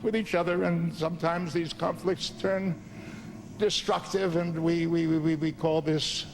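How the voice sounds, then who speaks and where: An earnest, flustered inventor, a little high-voiced. He stammers deferentially in old-time radio style, within a long reverb over faint noise. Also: level tone, unhurried, gravelly. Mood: earnest, regretful. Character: anxious, deferential, idealistic male inventor. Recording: echoing hall, faint background noise.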